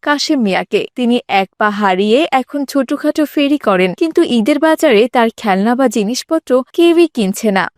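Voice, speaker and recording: A woman's voice: on the high side, lively intonation, a little fast, hardly any pauses, dry recording, clean studio sound. A woman narrating a cartoon story in a solemn, emotional way.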